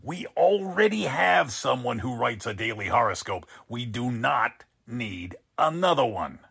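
An actor playing a sarcastic, blustering newspaper editor in a 1940s-style radio drama, with a husky voice. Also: male voice, mid-range voice, sing-song, a little slow, fairly bright tone, full character voice. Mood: exasperated, scolding. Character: exasperated, sarcastic male newspaper editor boss.

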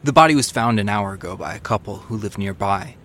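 A man's voice: on the low side, fast talker, a bit bright, slight background noise, natural voice. A man playing a young, eager junior police detective in an audio drama. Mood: helpful. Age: young adult.

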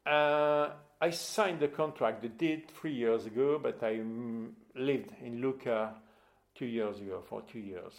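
A man speaks English with a marked French accent and plenty of gravelly creak in his voice. He talks conversationally as a guest, feeling his way through phrases and reaching for the right word. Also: mid-range voice. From Bordeaux, France. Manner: halting non-native English with searching for words. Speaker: interview guest. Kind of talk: casual conversational interview.

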